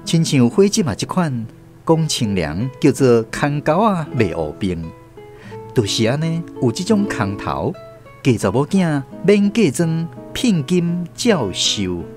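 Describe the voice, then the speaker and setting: A man's voice: fairly high pitch, sing-song, unhurried, a little mellow. A man with a Taiwanese accent telling a story as a radio drama narrator, in a folksy, explanatory way with rhetorical questions. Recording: guitar music under the voice. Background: plucked guitar music.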